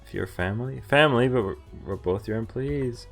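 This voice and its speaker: A man's voice: mid-range voice, a little fast, creaky, faint music behind. A man streaming a visual novel, casually reading game text aloud and adding informal, jokey commentary. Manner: informal, jokey asides between read lines.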